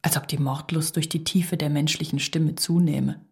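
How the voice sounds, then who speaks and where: Low-pitched woman reading literary prose. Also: steady tone, normal speed, a little mellow. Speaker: reader of a literary radio text. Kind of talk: scripted literary narration.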